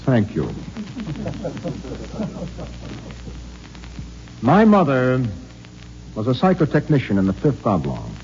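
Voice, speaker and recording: An actor playing an earnest, defiant mutant outcast in a 1950s American radio drama, speaking with deliberate, oratorical feeling. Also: male voice, mid-range voice, a little mellow. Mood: subdued. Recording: muffled, faintly noisy old radio recording.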